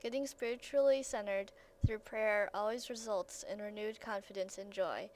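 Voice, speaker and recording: A woman's voice: mid-range voice, steady tone, normal speed, a little mellow. Female testimony reader, middle register, slightly noisy recording. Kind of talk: reading a first-person testimony aloud. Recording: faint background noise and ambience.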